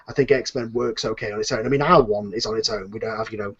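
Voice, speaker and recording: A man's voice: lowish voice, fast, husky. Rambling man hosting, muffled lo-fi sound. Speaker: host and audio drama series creator. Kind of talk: casual unscripted panel discussion.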